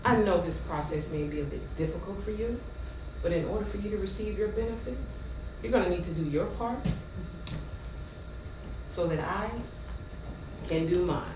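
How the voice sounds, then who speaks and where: A woman on stage playing a condescending, officious welfare caseworker with a brisk, bureaucratic and patronizing manner. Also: on the low side, close to an everyday voice. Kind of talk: theatrical stage play dialogue. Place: theater stage, recorded from a distance. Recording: echoey stage hall, far mic, noisy.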